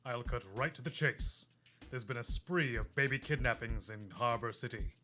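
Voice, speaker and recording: A man's voice: mid-range voice, moderate pace, bright, forceful tone, soft background music, lightly acted. A man playing a dogged, earnest, quirky police detective in an audio drama, probing and melodramatic.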